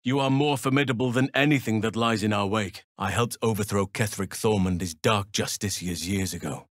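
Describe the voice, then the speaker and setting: A man plays a big, middle-aged elf druid from a fantasy video game. His voice is on the low side and closely recorded with a dry sound. He speaks solemnly and formally, with an earnest, gentle wisdom. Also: no echo, natural voice. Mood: earnest, measured. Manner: measured, formal, solemn phrasing. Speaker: video game companion character. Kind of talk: acted fantasy game dialogue.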